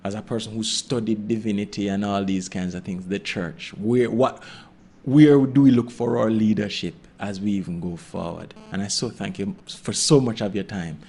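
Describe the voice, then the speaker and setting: A man's voice: lowish voice, moderate pace, creaky, a little mellow, breathy edge. A man interviewing a guest, asking a long, courteous, rambling question.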